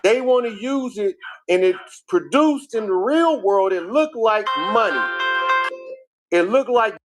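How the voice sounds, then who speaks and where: A man's voice: high voice, expressive, unhurried, over music, low-quality recording. An American man speaking as a guest, lecturing conversationally in an emphatic, declarative manner. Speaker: interview guest. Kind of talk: conversational spiritual history lecture.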